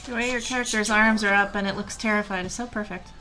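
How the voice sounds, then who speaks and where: A woman's voice: mid-range voice, fairly even intonation, fast, over music, rough recording. A woman playing a tabletop game, tossing in casual reactions and banter.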